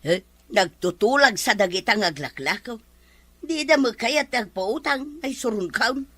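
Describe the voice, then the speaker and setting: Brief: actress as older village nag, bright forceful voice, close dry sound, grumbling and insistent, barangay comedy drama. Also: female voice, fairly high pitch, lively intonation, a little slow, no room sound. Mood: scheming. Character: nagging older village woman begging to buy on credit. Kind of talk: comedy radio-style drama acting.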